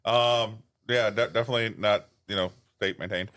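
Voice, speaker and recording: Very deep-voiced man chatting casually. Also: steady tone, a bit bright. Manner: measured, uses fillers like "you know". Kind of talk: casual chat.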